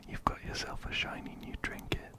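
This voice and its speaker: A man whispering breathily and gently, very close to a binaural microphone, as he narrates a game and reads its text aloud. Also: normal speed. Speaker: ASMR video host. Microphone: close-up binaural microphone, ear to ear.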